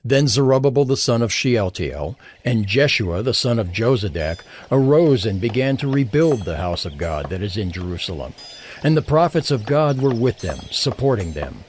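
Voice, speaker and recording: Expressive male scripture narrator. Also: fairly low pitch, normal speed, slight background noise.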